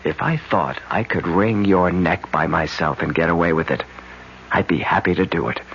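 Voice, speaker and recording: An actor playing a firm, scornful family attorney in a 1970s radio drama. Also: male voice, deep voice, normal speed, a bit bright, slight background noise. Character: stern protective family attorney, middle-aged. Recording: muffled 1970s radio broadcast.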